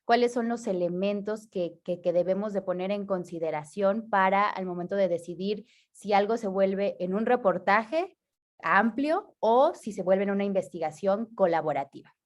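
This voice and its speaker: A Mexican woman with a mid-range voice hosts a workshop over a video call. She sounds upbeat and brisk. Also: mid-range voice. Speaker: workshop host. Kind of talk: moderating.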